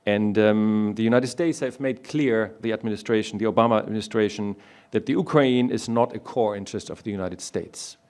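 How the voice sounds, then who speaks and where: A man from Germany, speaking as a panelist in a public discussion and making his points one by one in a measured way. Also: on the low side, a little mellow.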